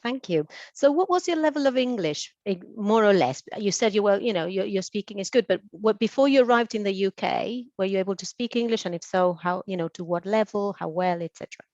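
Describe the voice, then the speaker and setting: Woman host, interview questions, halting restarts, dry dull lo-fi webinar sound. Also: medium pitch, lively intonation, no echo. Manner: warm, hesitant, many fillers and restarts.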